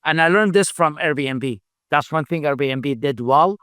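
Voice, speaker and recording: A middle-aged man, a company founder, speaking English as a second language in a halting interview answer style, full of fillers and repeated words. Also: on the high side, a bit bright, smooth, no echo, studio quality. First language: not English. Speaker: founder and CEO, podcast guest.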